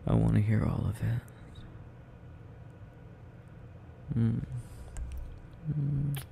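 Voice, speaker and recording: A man's voice: mid-range voice, steady tone, a little slow, creaky, warm tone, slight background noise. A young man playing a sleepy, needy, affectionate boyfriend in an intimate ASMR roleplay, murmuring drowsily and tenderly close to the microphone. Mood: drowsy, tender.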